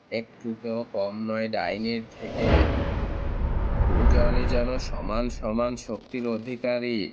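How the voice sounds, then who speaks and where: A man's voice: medium pitch, highs cut off, very noisy. A man narrating a scripted cartoon tale dramatically, building suspense phrase by phrase. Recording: loud music behind the voice. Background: loud background music.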